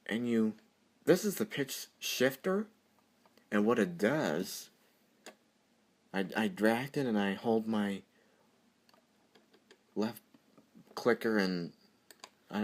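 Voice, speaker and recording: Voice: medium pitch, very animated, takes it slowly, pauses often. A tutorial presenter talking off the cuff over a screen recording, rambling and easily amused. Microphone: computer microphone during screen recording.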